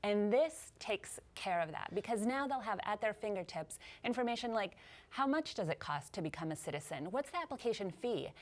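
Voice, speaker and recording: An upbeat woman in her thirties sits as a guest in a television studio interview. She explains in a steady, mid-pitched voice and readily agrees with her host. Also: a little fast. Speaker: immigration policy guest. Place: TV news studio.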